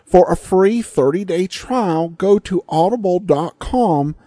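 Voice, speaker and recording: A male podcast host with a soft-edged, mellow tone and lively intonation, reading a scripted introduction. The recording is dry and close. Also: high voice, takes it slowly, no echo.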